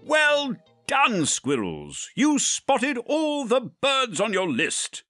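A woman's voice: a little high, huge pitch swings, drawn-out words, no room sound. A woman narrating a children's game in an encouraging, praising, sing-song way. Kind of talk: scripted narration for young children. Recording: game audio over loud music.

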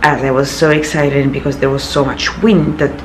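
A woman in her thirties, croaky from little sleep, chatting casually to a handheld camera in her small apartment, rambling with many fillers. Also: a bass voice, little pitch movement, a little fast, slight background noise, low-quality recording. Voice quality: gravelly. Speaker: vlogger. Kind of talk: casual vlog talk to camera. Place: small apartment bedroom, indoors. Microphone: handheld camera at arm's length.

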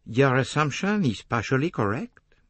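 A man voicing a gentle older male detective, measured and formal, in an audiobook novel. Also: medium pitch, very animated, dry recording, pitched above the performer's own voice. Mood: courteous, probing.

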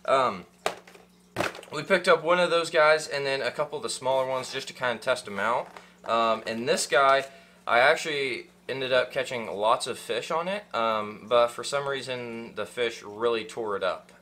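A man's voice: medium pitch, sharp tone. A young man hosting a fishing channel, unboxing gear in casual chat with lots of ums and uhs, seated in a small room. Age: young adult. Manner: rambling, many ums and uhs, restarts.

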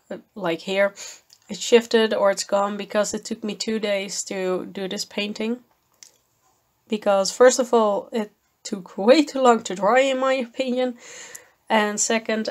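Slow-talking woman losing her voice. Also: medium pitch. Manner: slow, thoughtful, with fillers and small laughs. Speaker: art channel host. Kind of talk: voice-over commentary on a painting video.

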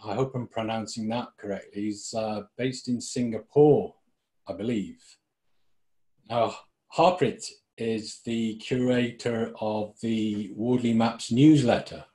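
A man hosting a community podcast, speaking in an unhurried, measured way with frequent ums. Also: lowish voice, dark tone. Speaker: podcast host.

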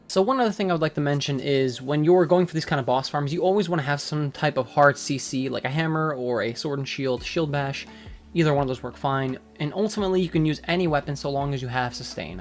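Casual man narrating a game guide. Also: medium pitch. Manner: casual, relaxed, with frequent fillers. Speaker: gaming guide creator. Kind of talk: game walkthrough commentary.

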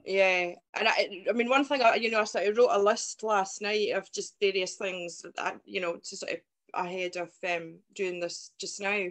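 A woman in her forties with a Scottish accent from Fife, talking as a guest over a video call, quick and rambling. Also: mid-range voice, fairly even intonation, fairly bright tone. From Scotland. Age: 40s. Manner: fast talker, rambling, reflective. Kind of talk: casual video-call interview. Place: indoor room at home.

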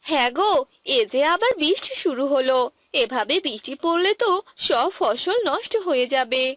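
A woman voicing a worried, caring, expressive young farmer's wife in a cartoon. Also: sits high, moderate pace, no room sound. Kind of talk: Bengali cartoon dialogue dubbing.

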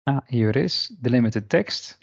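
A man narrating an unscripted screen-share software tutorial in an informal, explanatory way. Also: mid-range voice, lively intonation, moderate pace, vocal fry, dry recording, highs cut off. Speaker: software demonstrator. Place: indoor voice-over for screen recording.

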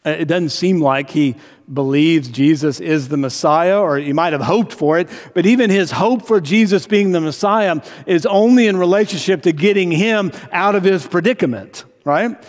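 An older man preaching a sermon as a pastor, conversational and full of rhetorical questions, slowing for emphasis on key phrases. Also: high voice. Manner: conversational, retelling with rhetorical questions and tag "right?". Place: large indoor church sanctuary. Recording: reverberant church hall sound.

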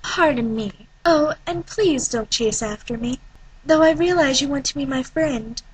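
A woman's voice: high-pitched, a little slow, low-quality recording. A woman voicing a snooty, self-important young lady, prim and condescending, in an anime fan dub audition.

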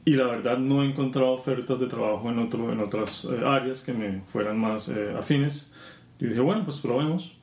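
A Colombian man guest talking casually about his work in software testing, relaxed with many fillers. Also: mid-range voice, moderate pace. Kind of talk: casual interview. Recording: roomy conference venue.

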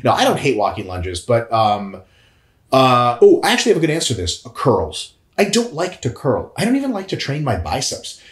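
A man in his thirties on a couch, answering viewer questions in a casual, jokey, profane way, with big swings in pitch. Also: huge pitch swings, brisk. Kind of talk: casual chat. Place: indoor room, on a couch. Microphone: lapel mic. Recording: echoey room.

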